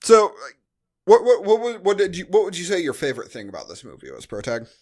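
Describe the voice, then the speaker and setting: American dude cohosting a podcast, voice a little high, casual movie talk, blunt n jokey, swears. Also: male voice, wildly swooping pitch, fast, darkish tone. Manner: blunt, joking, profane. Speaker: podcast co-host. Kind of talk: casual film review chat.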